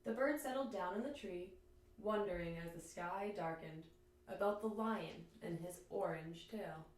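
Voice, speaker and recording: A woman's voice: on the low side, measured pace. Woman reading a storybook in an echoey room. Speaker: teacher reading aloud to children. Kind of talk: children's storybook read-aloud. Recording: echoey room, distant microphone.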